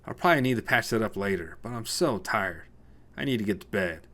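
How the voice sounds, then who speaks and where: A man's voice: on the low side, animated, fairly quick, fairly bright tone. A man voicing a tired, cranky new homeowner in an audiobook story, dry and grumbling with a sarcastic edge. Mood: weary. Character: tired, cranky male newcomer, dryly sarcastic minimalist. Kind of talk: audiobook character voice.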